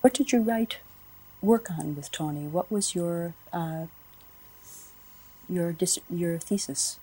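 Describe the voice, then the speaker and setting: A woman interviewer speaks very slowly, with a slightly low voice. She frames her long questions with deliberate care, giving each detail its place. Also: dark tone, rough recording. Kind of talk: oral history interview questions.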